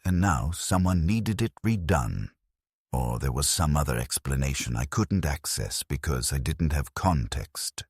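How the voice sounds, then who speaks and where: A man narrating a dark first-person story in a grave, measured, brooding way. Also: a bass voice, breathy edge, no room sound, clean studio sound.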